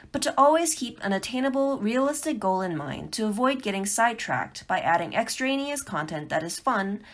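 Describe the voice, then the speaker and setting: A woman narrates an instructional talk for beginners. Her intonation is very animated, rising and falling widely as she stresses key points. The phrasing is careful and clearly prepared. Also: medium pitch. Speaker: presenter of a beginners' tutorial talk.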